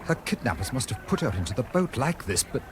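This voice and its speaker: A man acting in a radio drama as a protective, indignant English gentleman, formal and emphatic. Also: medium pitch, big pitch swings, fast, background noise, natural voice. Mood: concerned.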